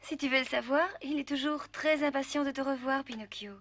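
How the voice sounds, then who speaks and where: A woman playing a firm, patient female guardian and teacher in a French cartoon dub, speaking in an instructive, gently strict way. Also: high voice, fairly even intonation, a little fast, no room sound. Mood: firm, kindly. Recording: music behind the voice.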